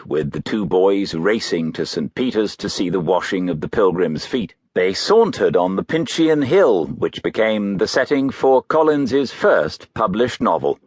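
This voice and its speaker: A man narrating a biography audiobook in a measured, literary storytelling manner. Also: deep voice, darkish tone, husky, no echo.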